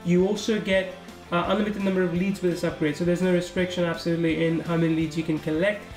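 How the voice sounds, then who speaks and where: A man with a high voice and fairly even intonation talks through product pages, casual and thinking aloud. His voice sounds roomy and echoey, with faint guitar music underneath. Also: fast talker. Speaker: software reviewer. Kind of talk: screen-recorded product walkthrough.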